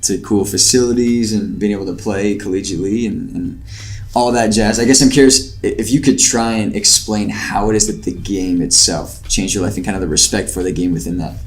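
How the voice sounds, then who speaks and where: A man's voice: on the low side, steady tone, a little fast, faint music behind. A man hosting a podcast interview, asking questions in a laid-back, slangy way with plenty of fillers. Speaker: podcast host. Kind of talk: casual interview conversation.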